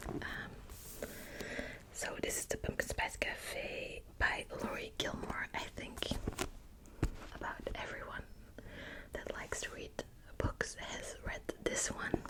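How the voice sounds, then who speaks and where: A young woman from Belgium with a Dutch accent, whispering softly and hesitantly as she hosts her ASMR book channel, with many small fillers. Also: slow pace, pauses often.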